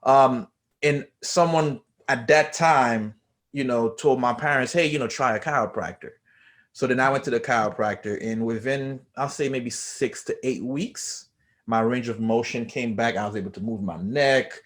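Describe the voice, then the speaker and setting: A man from Haiti, a chiropractor guest, telling his story in a relaxed way with many fillers. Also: mid-range voice, moderate pace. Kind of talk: casual interview.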